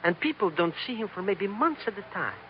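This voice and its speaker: An actor playing an excitable, bumbling comic sidekick with a flustered, over-eager manner in 1940s radio style. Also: male voice, sits high, a little fast. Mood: flustered, defensive. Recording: muffled, noisy old radio recording.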